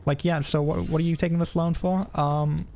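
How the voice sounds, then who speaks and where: A man's voice: fairly high pitch, little pitch movement, moderate pace, creaky, a little mellow. A man casually commentating as he streams a video game, with a joking, sarcastic manner.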